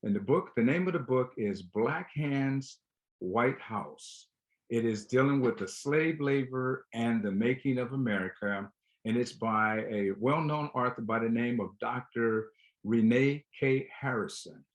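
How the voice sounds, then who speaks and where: A man's voice: mid-range voice, a little slow, rough recording. A man hosting a talk show, speaking conversationally and directly to his listeners and guest. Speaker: show host. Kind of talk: conversational show introduction and interview.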